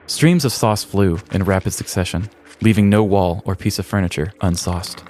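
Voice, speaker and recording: Low-voiced man telling a comic story. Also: a little mellow. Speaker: podcast host. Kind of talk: scripted comedic true-crime storytelling.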